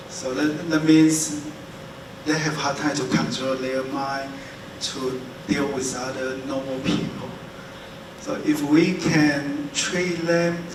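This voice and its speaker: A man fields questions at a conference in plain, unscripted English. His pace is slow, and the sound is echoey, with clear noise behind him. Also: medium pitch. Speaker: conference speaker. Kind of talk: unscripted question-and-answer talk. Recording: echoey hall, distant mic, noisy.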